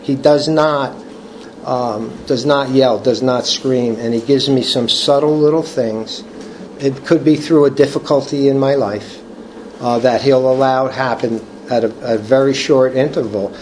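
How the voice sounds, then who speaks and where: An older man leading a recovery study group, reading from the book and sharing personal testimony in a halting, earnest way with many restarts. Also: mid-range voice, fairly even intonation, darkish tone, slight background noise, poor audio. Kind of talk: casual talk reading from a book.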